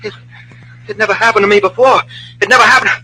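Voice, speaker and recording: A man's voice: high-pitched, fairly even intonation, fast talker, bright, forceful tone, slightly rough, full character voice, poor audio. An actor playing a cocky, jumpy gangster gunman with slangy tough-guy talk in an old-time radio drama. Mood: shaken.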